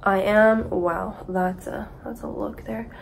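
A woman's voice: mid-range voice, fairly even intonation, takes it slowly, vocal fry, a little hiss or hum. A young woman in her twenties chatting casually to camera for her vlog in a small apartment, a bit congested from a cold. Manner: chatty, rambling. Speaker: vlogger. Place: small apartment room with kitchen, indoors.

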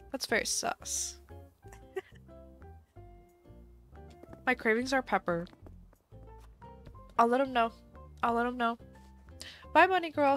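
Woman, live streamer, higher voice, slightly stuffy nose, chatty, giggly, faint music behind. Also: fairly bright tone. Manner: chatty and giggly, reacting to chat. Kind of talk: casual live-stream chat while playing a game. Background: faint background music.

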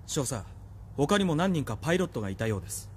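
A man's voice: fairly high pitch, sing-song, fast talker, darkish tone, a little hiss or hum. A man playing a proud, vengeful young military officer in an audio drama, with a menacing, taunting manner. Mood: formal. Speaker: voice actor. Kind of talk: anime-style audio drama acting.

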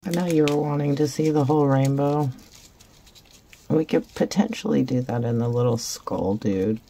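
A woman hosting a resin craft video, raspy after a concert, chatting in a rambling, self-deprecating way while she works. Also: very deep voice, normal speed, warm tone. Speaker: resin craft channel host. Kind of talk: casual chat while crafting. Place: craft table indoors.

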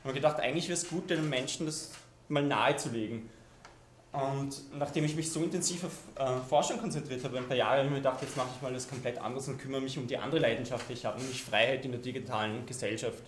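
A man's voice: medium pitch, fast. A man, giving a loose, conversational keynote talk with many ums and restarts in a lecture hall. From Austria. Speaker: keynote speaker. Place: lecture hall with projection screen. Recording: echoey lecture hall, faint noise.

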